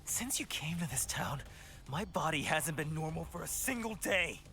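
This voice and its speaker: A woman voicing a menacing, tormented, obsessive man in a visual novel, dramatically moving between breathy threats and hot-tempered outbursts. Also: low voice, lively intonation, normal speed, a bit bright. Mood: strained, tormented. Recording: music behind the voice.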